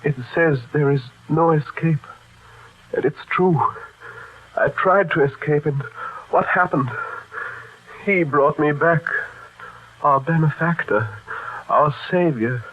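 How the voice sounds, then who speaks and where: An actor playing a haunted, fearful refugee who broods haltingly in an old-time radio drama. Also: male voice, fairly high pitch, phone-quality sound. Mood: fearful, resigned. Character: haunted, tormented refugee man who remembers past lives.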